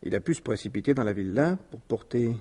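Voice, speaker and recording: A polite careful inspector in a radio mystery, mellow husky voice, hesitant questions. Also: male voice, medium pitch, expressive, quick pace. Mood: probing, tactful. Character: tactful, probing male police inspector. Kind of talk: 1950s-style French radio detective drama acting.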